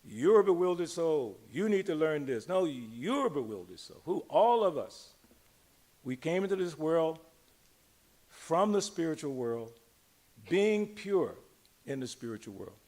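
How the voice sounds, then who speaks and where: Older male religious teacher, somewhat high voice, informal discourse with rhetorical questions and stretched-out emphasis. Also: sing-song, darkish tone. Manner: conversational, emphatic, slowing and drawing out key words. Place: speaking live to a gathering in a temple.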